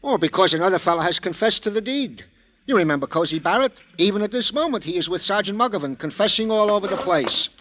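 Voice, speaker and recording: An actor playing a fussy, long-winded police sergeant sidekick in an old radio crime drama, speaking in flowery, over-formal phrases. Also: male voice, sits high, lively intonation, fast talker, a bit bright. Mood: eager, self-important.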